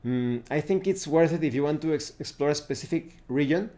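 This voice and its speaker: Male reviewer, mellow and relaxed tone, informal unscripted delivery with hesitant phrasing. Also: fairly high pitch. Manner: hesitant, halting, searching for words. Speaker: tabletop game reviewer and host. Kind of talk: unscripted review talk to viewers.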